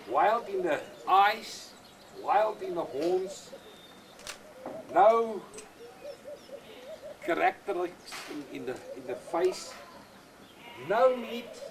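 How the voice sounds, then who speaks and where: An older man with an Afrikaans accent, whose first language is Afrikaans, talking plainly and repetitively in halting English as a goat breeder outdoors on a farm. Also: sits high, vocal fry, slight background noise. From South Africa. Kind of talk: casual explanation on a farm.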